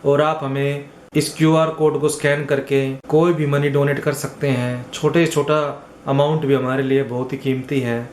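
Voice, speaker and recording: Flat-toned man in an echoey room. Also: medium pitch, moderate pace. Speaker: channel presenter. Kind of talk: scripted appeal to viewers. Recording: echoey room, faint noise.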